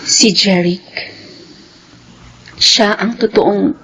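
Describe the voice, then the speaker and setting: A woman's voice: medium pitch, fairly even intonation, very slow, a bit bright, a little hiss or hum, poor audio. An actress playing a hurt young single mother, guarded but tender, in a Filipino radio drama. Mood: guarded, serious. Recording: radio drama with music bed.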